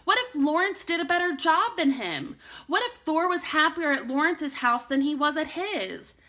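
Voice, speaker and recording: A woman teacher reading a children's storybook aloud in an expressive way meant for young listeners. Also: high-pitched, moderate pace.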